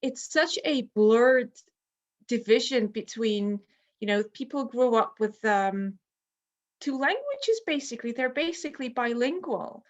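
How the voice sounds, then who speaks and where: Higher-voiced woman, earnest host. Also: animated, a little slow, dull audio. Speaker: series host. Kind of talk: casual panel talk.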